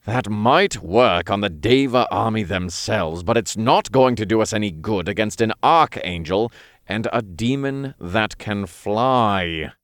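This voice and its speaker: A man voicing a tired male archangel who speaks in a slow, sighing, exasperated way. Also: fairly low pitch, lively intonation, dry recording, clean studio sound. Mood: weary.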